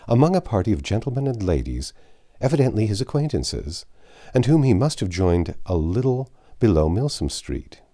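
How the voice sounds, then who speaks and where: Guy narrating an old novel, really deep and soft-toned, measured expressive storytelling. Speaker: audiobook narrator. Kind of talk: literary novel reading, scripted narration.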